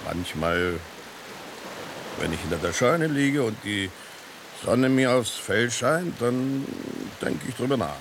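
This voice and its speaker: An actor playing a worldly, philosophical stray tomcat in a radio drama, with a sardonic, dismissive drawl. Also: male voice, medium pitch, expressive, takes it slowly. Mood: musing. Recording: constant rain-like hiss behind the voice.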